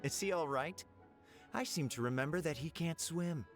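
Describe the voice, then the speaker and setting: Man as a hushed, lightish aide with dry asides over game music. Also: fairly high pitch, normal speed, close to an everyday voice. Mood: wry.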